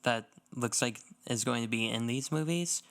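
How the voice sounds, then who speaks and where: Clear-voiced man in casual podcast chat. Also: mid-range voice, moderate pace, a bit bright. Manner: loose, rambling, says "like" often. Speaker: podcast co-host.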